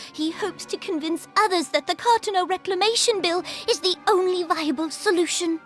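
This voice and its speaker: An actress playing a young, regal female ruler who speaks in a measured, formal and stately way in a game cutscene. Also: extremely high pitch.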